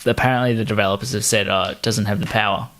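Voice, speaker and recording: A man co-hosting a podcast in casual chat, animated and quick to laugh. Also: on the low side. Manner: animated, laughs between phrases. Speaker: podcast co-host.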